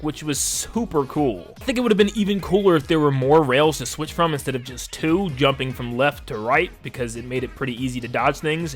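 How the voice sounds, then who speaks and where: A man's voice: a little high, a bit bright. A man giving casual, enthusiastic and emphatic video essay commentary as a conversational game reviewer. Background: music under the voice.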